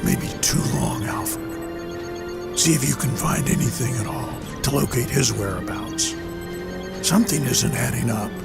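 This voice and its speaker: A man playing a grim masked vigilante in an audio drama, speaking in terse, brooding, gravelly lines. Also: mid-range voice, sing-song, measured pace, exaggerated voice. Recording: loud music under the voice.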